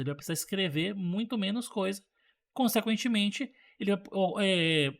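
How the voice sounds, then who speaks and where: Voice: medium pitch, a bit bright. A Brazilian teacher giving an informal programming lesson, explaining conversationally with examples and checking that learners follow. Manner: conversational, checks understanding, acts out example dialogue.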